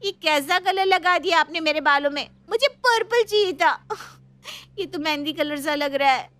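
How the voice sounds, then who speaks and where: Woman voicing a shrill, whiny young sister-in-law. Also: unhurried, a bit bright, no echo. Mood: upset, tearful. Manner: complaining, exclaiming.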